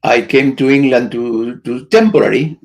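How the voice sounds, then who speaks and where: An older man from Argentina, speaking slowly and precisely as a guest. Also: medium pitch, a little mellow. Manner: slow, precise, insistent. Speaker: guest artist.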